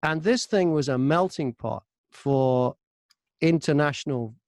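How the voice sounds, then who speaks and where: A man's voice: fairly high pitch, unhurried, a little mellow, dry recording, clean studio sound. A middle-aged British man chatting casually as an interview guest, telling self-deprecating stories about his work. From Britain. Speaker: voice-over artist and former radio presenter being interviewed. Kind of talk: casual anecdotal interview chat.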